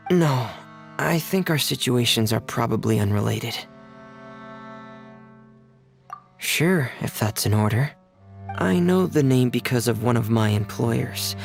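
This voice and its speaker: Shy soft-spoken teen boy for a game, bit creaky, hesitant, always apologetic. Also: medium pitch, animated, unhurried, faint music behind. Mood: reserved. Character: shy, reserved, gentle teenage boy toymaker. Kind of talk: video game cutscene acting.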